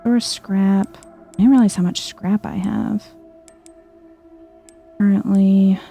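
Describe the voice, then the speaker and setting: A woman speaks softly and slowly in a mellow, relaxed voice as she comments on a video game. Her delivery is chill and low-key, close to ASMR, murmured as if to herself. There is noticeable vocal fry in her voice. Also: faint music behind. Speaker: gaming video host. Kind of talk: soft-spoken ASMR gameplay commentary.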